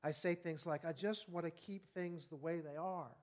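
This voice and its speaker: A man in his fifties preaching an evening sermon, earnest and conversational, often asking the congregation questions and slowing down on key words. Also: on the high side. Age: 50s. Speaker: pastor.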